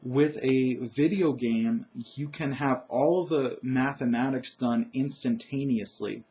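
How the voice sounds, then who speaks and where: A man with a naturally loud voice talking alone about tabletop gaming, emphatic and opinionated, with frequent "uh"s and restarts. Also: mid-range voice, slow, dull audio. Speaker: gaming commentator. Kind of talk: solo opinion monologue.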